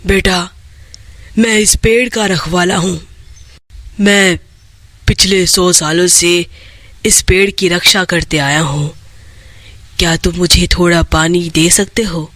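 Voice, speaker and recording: A storyteller as a slow, ancient tree guardian. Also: mid-range voice, fairly even intonation, a bit bright, no room sound, voice pushed down. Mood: gentle, solemn. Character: kindly ancient old man, magical guardian of a tree.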